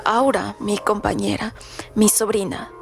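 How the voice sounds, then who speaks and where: A woman playing a frail, commanding old widow in a radio drama, speaking formally and tersely with a creaky voice. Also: mid-range voice, flat delivery, measured pace. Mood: firm, cryptic. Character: frail, imperious old widow, cryptic and insistent. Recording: loud music underneath. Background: music.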